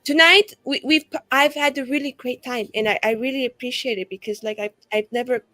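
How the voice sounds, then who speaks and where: Woman playing a tipsy, high-voiced young female mage. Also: steady tone, fairly quick, sharp tone, no echo. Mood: tipsy, earnest. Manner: rambling, informal, effusive.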